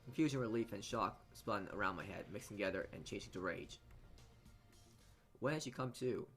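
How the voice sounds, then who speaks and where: A man reading a visual novel aloud as dramatic narration, halting often as he stumbles over and repeats words. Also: fairly low pitch, fairly bright tone, faint music behind. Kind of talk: dramatic read-aloud narration for a let's play.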